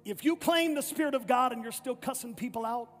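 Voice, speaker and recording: Male pastor, very high voice, emphatic repetitive delivery, reverberant sanctuary with faint music. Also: expressive, brisk, fairly bright tone. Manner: emphatic repetitive preaching with stammered restarts. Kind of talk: sermon. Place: church sanctuary. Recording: echoey hall with faint music.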